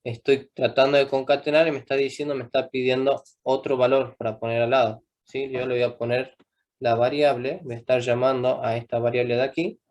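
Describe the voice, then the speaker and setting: A man's voice: mid-range voice, flat delivery, fairly quick, darkish tone, muffled recording, a little hiss or hum. Patient man teaching programming. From Argentina. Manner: patient, keeps checking in with "¿sí?". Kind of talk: live coding class.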